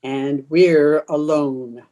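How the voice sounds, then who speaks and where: A woman playing a bloodthirsty, commanding old vampire woman in old-time radio horror style, speaking with slow, insistent menace. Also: sits low, fairly even intonation, dark tone. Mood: menacing, hungry.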